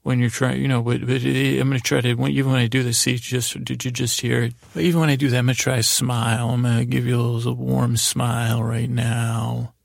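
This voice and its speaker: Very creaky, lulling American man. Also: medium pitch, little pitch movement, no room sound, clean studio sound. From United States. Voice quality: very creaky, gravelly. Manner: meandering, halting, with stammered restarts; lulling, soothing delivery. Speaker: podcast host. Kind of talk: rambling bedtime-story podcast intro.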